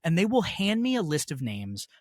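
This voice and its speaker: Animated, higher-voiced man speaking emphatically. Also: normal speed, dry recording, clean studio sound. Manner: emphatic, persuasive, articulate. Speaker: interview guest, festival organizer and actual play producer. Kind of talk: enthusiastic conversational interview.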